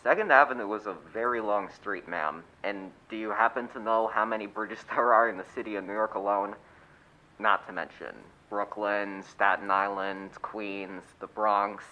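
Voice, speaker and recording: A man's voice: fairly low pitch, steady tone, normal speed, natural voice. A man playing an unimpressed, patronising police desk officer in a suspense radio play, matter-of-fact, with muffled sound. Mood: skeptical, patronising.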